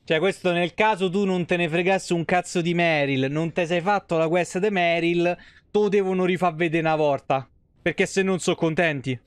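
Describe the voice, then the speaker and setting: A man's voice: sits high, moderate pace, smooth, no room sound. A young man from Italy doing casual live gameplay commentary, playful and foul-mouthed. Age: young adult. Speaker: live game streamer.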